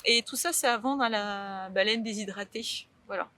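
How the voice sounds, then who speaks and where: A woman's voice: mid-range voice, moderate pace, a crowd in the background. A young woman from Brittany, a painter, talking casually in an interview with many fillers and restarts.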